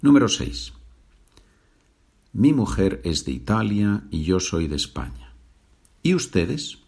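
Low-pitched, very animated Spanish teacher, man. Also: vocal fry, slightly rough. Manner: didactic, deliberate, repeats sentences. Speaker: Spanish teacher, host of a listening exercise. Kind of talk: language lesson, reading numbered practice sentences.

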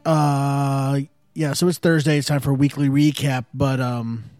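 A man's voice: mid-range voice, flat delivery, a little slow, a little mellow, dry recording, soft background music. A man hosting his own podcast from home, chatting casually in a laid-back, rambling way. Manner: laid-back and rambling, with frequent fillers and chuckles. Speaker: podcast host. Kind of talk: casual solo podcast chat. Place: at home by an open window.

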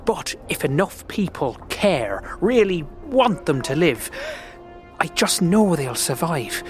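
A man playing a gentle, sorrowful, earnest old man in a fantasy audio drama, wistful in manner. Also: on the high side, very animated, slow pace. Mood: fervent. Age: older. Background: music.

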